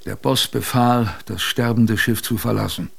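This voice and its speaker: An actor playing a plain-spoken seaman who recalls a polar shipwreck in a colloquial, halting way, as in a radio drama. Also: fairly low pitch, steady tone, gravelly, fairly bright tone, slightly rough, heavily acted. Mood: musing, halting. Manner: colloquial, halting, musing storyteller. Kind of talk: radio drama first-person narration.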